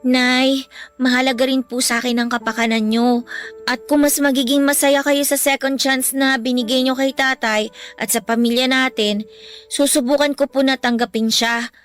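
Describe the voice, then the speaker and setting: A woman's voice: sits high, flat delivery, moderate pace, soft background music, lightly acted. A woman playing a respectful, devoted young student daughter in a Filipino radio drama, polite and earnest.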